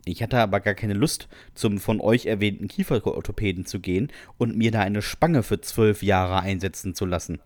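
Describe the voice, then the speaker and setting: A man's voice: fairly low pitch, moderate pace, few pauses, a little mellow, no room sound. A man co-hosting a podcast, reading out listener stories in an amused, informal way. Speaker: podcast co-host.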